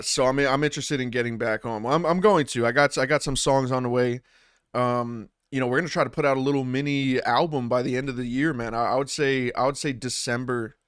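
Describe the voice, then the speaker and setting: A man's voice: medium pitch, fairly bright tone, smooth, no room sound. Chatty streamer man, dry close mic. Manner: loose, rambling, restarts often, says "man" and "bro" a lot. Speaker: streamer and battle rap commentator. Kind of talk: casual livestream chat. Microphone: studio dynamic mic through audio interface.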